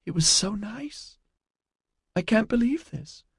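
Man voicing a distraught young student woman, pitched up. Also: very animated, moderate pace, darkish tone, husky, dry recording, clean studio sound. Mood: wistful, hurt. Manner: woebegone, pleading. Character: distraught naive twenty-year-old woman student.

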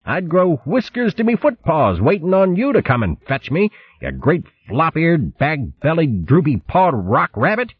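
A man voicing a cantankerous old male mouse who speaks in a quavery voice. The sound is husky and comes through a dull lo-fi audiobook recording. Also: on the high side, big pitch swings, unhurried, few pauses, no room sound, exaggerated voice. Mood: bickering.

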